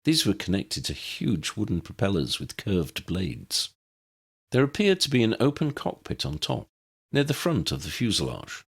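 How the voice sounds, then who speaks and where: A man reads fiction aloud as a narrator. His voice is deep and husky, and his pitch swings widely as he tells the tale. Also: wildly swooping pitch, moderate pace. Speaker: audiobook narrator.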